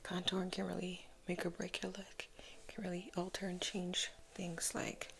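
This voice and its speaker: A woman in her thirties, sleepy and just up, chatting casually in a soft whisper while doing her makeup at home. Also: on the low side, monotone, moderate pace, creaky, slight background noise. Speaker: vlogger. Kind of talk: soft-spoken whispered get-ready-with-me vlog.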